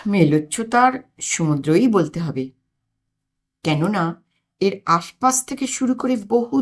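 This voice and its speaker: Slow, expressive woman storyteller. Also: mid-range voice. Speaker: storyteller, audiobook narrator. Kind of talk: expressive scripted narration of a comic story.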